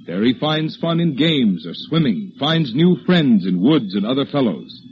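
A man's voice: mid-range voice, very animated, a little slow. Male announcer, scripted 1940s radio delivery, wide-ranging intonation, muffled hissy recording. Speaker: show announcer.

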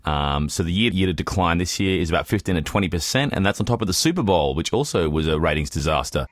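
Guy podcast host, really deep voice, talks quick, explaining stuff casually, dry close sound. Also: expressive, no room sound. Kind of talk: casual unscripted chat.

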